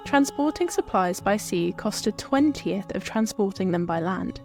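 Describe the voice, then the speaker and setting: A woman's voice: mid-range voice, animated, normal speed, a little mellow, soft background music. A woman telling history from a script as a tour presenter.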